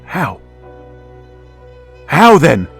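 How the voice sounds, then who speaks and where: A man's voice: a little high, huge pitch swings, drawn-out words, creaky, exaggerated voice. An actor playing a grieving, middle-aged father who narrates and pleads desperately to reach his dead son, in a vintage-style radio drama. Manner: anguished, pleading, rising to frantic outbursts. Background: music.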